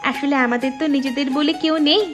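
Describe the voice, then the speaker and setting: A woman's voice: sits high, fairly even intonation, fast, close to an everyday voice. A woman voicing a trusting, polite young village girl in a cartoon folktale. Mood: earnest. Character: trusting, eager poor young village girls. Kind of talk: Bengali cartoon folktale acting. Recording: music bed behind the voice.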